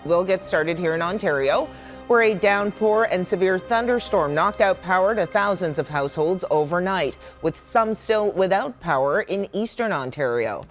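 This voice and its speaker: A woman's voice: lowish voice, lively intonation, moderate pace, soft background music. A woman presenting broadcast news as an anchor.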